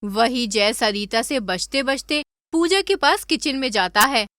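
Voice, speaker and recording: High, bright female story narrator. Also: lively intonation, a little slow, dry recording.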